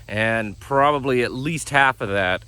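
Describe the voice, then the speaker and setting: A man hosting his own channel, talking casually with a raspy, worn-out voice and many fillers and restarts. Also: medium pitch, a little slow, bright, forceful tone. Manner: rambling, frequent fillers and restarts. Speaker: channel host. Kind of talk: casual talk to camera.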